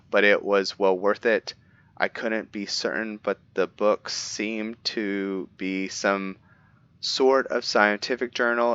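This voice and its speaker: A man voicing a brooding male vampire assassin who reads out his journal in a measured way, sometimes stumbling over words. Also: lowish voice, fairly bright tone, clean tone, dry recording, muffled recording. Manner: measured, stumbles now and then over words while reading. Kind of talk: reading in-character journal entries for a game roleplay.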